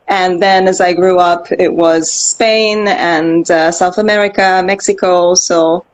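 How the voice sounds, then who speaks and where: Reflective woman rambling in a low voice. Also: steady tone, slow pace, slight background noise, low-quality recording. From Austria. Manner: reflective, rambling, with many fillers and restarts. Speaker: interview guest. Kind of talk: casual conversational interview.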